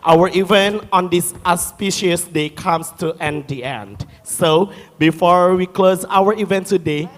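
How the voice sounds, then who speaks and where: A man's voice: high-pitched, a little slow, few pauses. A man, formally announcing as master of ceremonies at a live event. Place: hall on a stage, live event. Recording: echoey hall with faint music.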